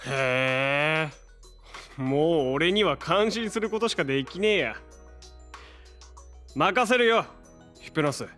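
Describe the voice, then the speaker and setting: An actor playing a brash, warm-hearted young man with casual, slangy speech in a Japanese audio drama. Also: on the high side, slow, long pauses, soft background music. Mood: casual, friendly.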